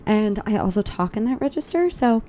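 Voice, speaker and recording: A woman's voice: medium pitch, very animated, fairly quick, dark tone, slight background noise. A young woman vlogging in a casual, upbeat, chatty way, thinking aloud, her voice a little tired. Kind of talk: casual vlog chat. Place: walking outdoors on a loud city street.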